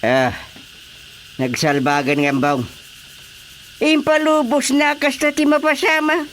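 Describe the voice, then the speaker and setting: Slow delivery, blunt older village uncle, grumbling, radio drama, faint noise. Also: male voice, very high-pitched, animated, a bit bright, exaggerated voice. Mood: exasperated.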